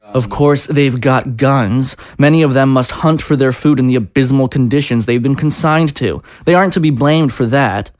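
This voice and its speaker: An actor playing a presidential aide who speaks in a polished, rhetorical, conciliatory way. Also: male voice, medium pitch, moderate pace, darkish tone, no room sound, studio quality, lightly acted. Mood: persuasive. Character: smooth persuasive presidential aide, man.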